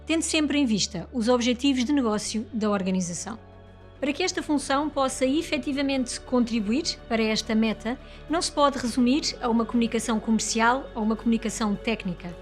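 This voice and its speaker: A woman narrates a corporate report from a script in a European Portuguese accent. Her voice sits somewhat high, with music playing behind it.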